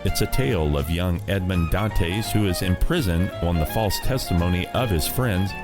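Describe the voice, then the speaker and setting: A man hosting an old-time radio show, introducing episodes in a relaxed, conversational way. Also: low voice. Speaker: host. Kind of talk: casual radio presenting. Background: loud guitar music.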